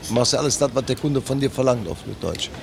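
A man's voice: medium pitch, fast talker, gravelly. A man in his fifties chatting casually in a weary, grumbling way. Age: 50s. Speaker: market trader. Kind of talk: casual chat. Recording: noisy background during workout.

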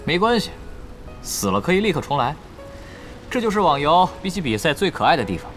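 An actor playing a proud, driven young esports captain in an audio drama, expressive and dryly sardonic. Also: male voice, on the high side, fairly quick. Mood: cool, confident. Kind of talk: Mandarin audio drama acting. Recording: dramatic music bed behind the voice. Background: prominent background music.

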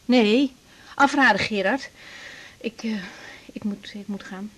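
Young woman doctor, slightly high, husky, earnest radio-drama lead. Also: slow, fairly bright tone. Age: young adult.

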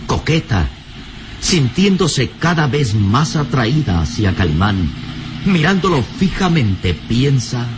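A man's voice: medium pitch, big pitch swings, takes it slowly, noisy background. A man playing the solemn, theatrical narrator of a suspenseful adventure radio serial. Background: music.

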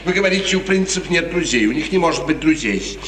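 Scheming chamberlain type, formal stage diction; noisy, echo-laden vintage radio play recording. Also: male voice, on the high side, lively intonation, fast, fairly bright tone. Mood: reproachful. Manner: formal, deferential, theatrical. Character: smooth, scheming male courtier serving a prince. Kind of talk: 1950s radio drama acting. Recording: echoey, noisy, distant 1950s radio recording.

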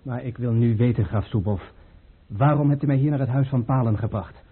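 An actor playing a proud, suspicious crown prince in a radio drama, speaking formally and indignantly. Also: male voice, medium pitch, a little fast, slightly rough, soft background music, close to an everyday voice. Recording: muffled, phone-like old radio play recording.

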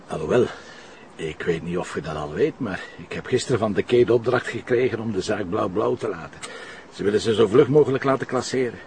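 A man's voice: on the low side, a little fast, darkish tone, lightly acted. A man with a Flemish accent playing a wry, grumbling police commissioner with dry delivery in an audio drama. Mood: pensive, dry. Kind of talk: naturalistic audio drama dialogue. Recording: faint background noise.

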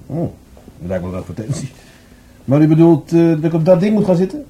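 Dark-toned, skeptical detective man. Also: fairly high pitch, sing-song, a little fast. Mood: awkward. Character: skeptical, awkward male police detective, the commissioner's colleague. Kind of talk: radio play dialogue.

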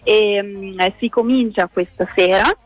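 A woman's voice: medium pitch, fairly even intonation, measured pace, no echo, rough recording. Hesitant woman interview guest, dry close sound. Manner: hesitant, searching for words, repeating small words. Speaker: interview guest, project curator. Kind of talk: unscripted radio interview.

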